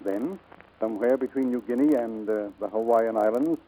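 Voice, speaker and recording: Male, commanding naval officer for vintage radio play, very dark muffled tone, formal and deliberate acted. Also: mid-range voice, natural voice. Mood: grave, formal. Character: commanding, grave US Navy admiral. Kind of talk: 1940s radio drama acting.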